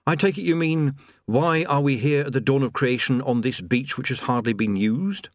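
A man's voice: medium pitch, normal speed, no room sound, voice pushed up, lightly acted. A man voicing a gloomy, cowardly wizard in an audiobook reading, dry and matter-of-fact. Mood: wry. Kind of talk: audiobook character voice.

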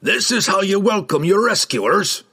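Fantasy audiobook dwarf: growling, hot-headed, rustic, big swings in pitch. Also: male voice, very animated, unhurried, creaky, fairly bright tone, slightly rough, full character voice. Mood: defiant warning. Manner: growling, barking, rustic folksy speech. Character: gruff, defiant, quick-tempered male dwarf warrior.

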